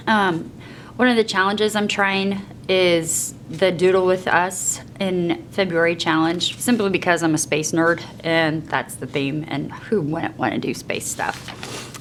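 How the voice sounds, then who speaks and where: Brief: young adult woman, congested from a cold, lowish voice, chatty, self-deprecating, light hiss behind. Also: slow pace. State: stuffed up with a cold. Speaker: teacher and bullet journal vlogger. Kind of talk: casual flip-through narration. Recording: faint background noise.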